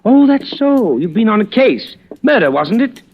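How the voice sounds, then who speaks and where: An actor playing a chatty, curious English police colleague in a 1940s radio mystery. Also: male voice, sits high, big pitch swings, normal speed, a little hiss or hum. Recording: dull old radio recording.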